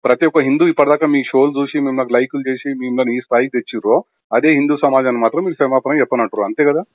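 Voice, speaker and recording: A man's voice: medium pitch, fairly even intonation, very fast, no echo. A man with a Telangana Telugu accent confronting someone as a caller, accusing, insistent and cutting in. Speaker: caller from an activist organisation. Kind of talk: confrontational phone conversation. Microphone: phone. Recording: muffled recorded phone call.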